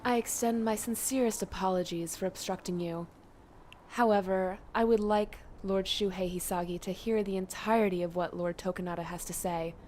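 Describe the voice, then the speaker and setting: A woman's voice: medium pitch, steady tone, normal speed, a little mellow, a little hiss or hum, close to an everyday voice. A woman playing a polite, composed and deferential mysterious antagonist with formal courtesy. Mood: composed, courteous.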